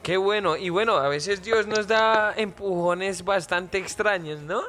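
A man's voice: high voice, unhurried, bright, forceful tone, soft background music. A man with a Colombian accent hosting a radio show, interviewing a guest in a playful, joking way. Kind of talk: friendly interview.

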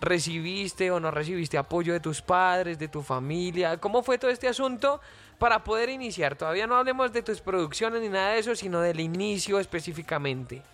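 A Colombian man hosting a morning radio interview, his voice pitched noticeably high for a man. He asks questions in a friendly, joking way and teases his guest now and then. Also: fairly quick, fairly bright tone. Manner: playful, joking. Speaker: radio show host.

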